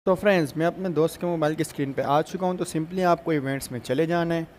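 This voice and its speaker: A man's voice: a little high, quick pace, music in the background. A young man doing casual gaming commentary, speaking informally and directly to his viewers. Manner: addresses viewers informally and repeatedly. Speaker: gaming YouTuber. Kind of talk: casual gameplay news commentary. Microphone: phone screen recorder voice-over.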